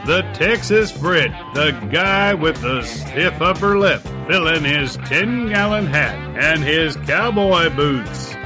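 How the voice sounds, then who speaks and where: A man voicing a folksy Texan cowboy announcer with a slow, showy drawl, over country guitar music. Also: fairly high pitch, lively intonation, pitched above the performer's own voice. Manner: drawling, slow showman delivery.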